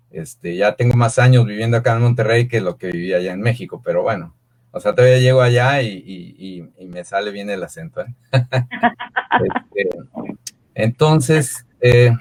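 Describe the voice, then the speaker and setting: A man's voice: lowish voice, a little mellow. Man in his fifties with a Mexican accent. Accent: Mexican Spanish. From Mexico City. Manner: rambling, filler-heavy storytelling. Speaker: guest, swim coach. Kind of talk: relaxed interview answers.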